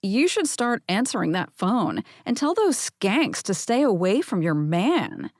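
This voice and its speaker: Woman reading gossipy young woman, blunt, slow. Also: mid-range voice, sing-song, darkish tone, dry recording, clean studio sound.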